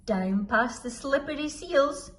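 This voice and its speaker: A woman reading a children's story aloud expressively, deliberately drawing out the dramatic sound words. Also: sits high, expressive. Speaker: school storyteller.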